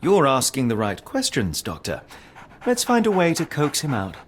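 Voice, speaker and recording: An actor playing a shrewd gentleman detective with formal, precise, probing speech in a scripted game. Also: mid-range voice, huge pitch swings, normal speed, a little hiss or hum. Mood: musing.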